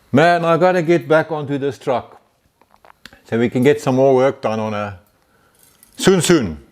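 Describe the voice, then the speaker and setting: A middle-aged man from South Africa with an Afrikaans accent, hosting a casual car-building vlog, relaxed and chatty with frequent chuckles and the odd stumble over words. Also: on the high side.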